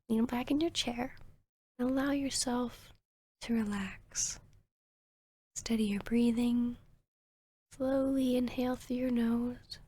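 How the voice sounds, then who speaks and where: Woman acting as female vampire therapist, ASMR roleplay, soft near-whisper, fairly high pitch, creaky, calm, professional, soothing. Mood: reassuring, measured, then soothing, slow. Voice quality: breathy, soft. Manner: gentle, measured, professional, often near-whispering.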